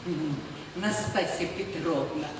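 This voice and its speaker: Actress as naive fussy old widow, echoing stage. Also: female voice, deep voice, takes it slowly. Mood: timid. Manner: plaintive, repetitive. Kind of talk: theatrical stage acting. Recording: distant echoey theatre, faint noise.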